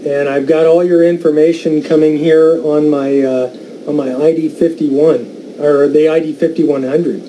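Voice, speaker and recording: A man with an American accent giving a casual radio gear demonstration, pausing between phrases. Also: a little high, level tone, dark tone, poor audio. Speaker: amateur radio YouTuber. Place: indoors at a desk. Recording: faint background noise.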